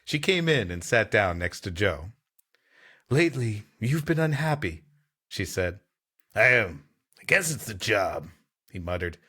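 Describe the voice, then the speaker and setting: A man's voice: on the low side, sing-song, measured pace, pauses often, bright, forceful tone, husky. A man co-hosting a podcast, reading a story aloud with dry, wry joking asides. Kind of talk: casual banter mixed with story reading.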